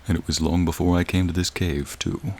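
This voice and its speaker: A man voicing a gentle, lonely, centuries-old dragon in a soothing ASMR roleplay, speaking in a reflective, gently formal way. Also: sits low, level tone, brisk. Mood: warm, curious. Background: rain and raindrops.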